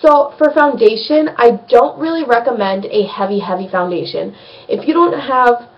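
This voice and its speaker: A woman's voice: on the high side, moderate pace, recorded from a distance, lo-fi sound. A young woman with a slight cold, chatting casually through a skincare vlog and rambling with plenty of ums. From United States. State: sniffly from a cold. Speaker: beauty vlogger.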